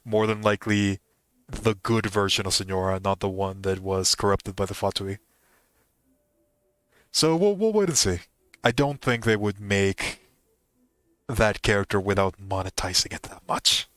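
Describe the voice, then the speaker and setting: A man's voice: on the low side, moderate pace, pauses often, a bit bright, no echo, studio quality. A man giving casual, musing commentary as he streams a game. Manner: musing, speculative.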